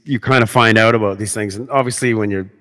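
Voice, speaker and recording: A man with a deep, low voice hosts a podcast in loose, easygoing conversation. He rambles, piling up "like" and "uh" and restarting his sentences as he goes. His voice rings out with a long reverb tail. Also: steady tone, fast, fairly bright tone. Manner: rambling, many fillers and restarts. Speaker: podcast host. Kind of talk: casual conversation.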